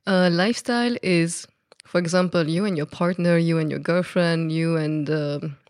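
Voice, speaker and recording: A woman's voice: lowish voice, fairly even intonation, normal speed, a little mellow, no echo. A woman from Belgium, a native French speaker with a Belgian French accent, explaining things candidly as a podcast guest in casual conversation.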